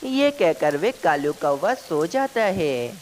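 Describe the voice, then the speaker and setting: A woman's voice: low-pitched, big pitch swings, slow, a little mellow, a little hiss or hum. A woman narrating a scripted children's story as its storyteller.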